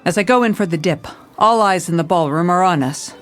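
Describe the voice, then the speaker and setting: A woman's voice: on the low side, brisk, a bit bright, faint music behind, natural voice. An actress playing a hard-boiled, weary female police commissioner in a noir audio drama, with a wry, clipped delivery. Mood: tense narration. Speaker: narrator and lead character.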